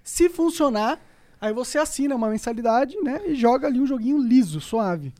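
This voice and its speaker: A man from Brazil with a high voice hosts a podcast in a loose, casual way, reading sponsor spots between chat. He laughs easily and keeps things relaxed. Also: a little fast. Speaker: podcast host.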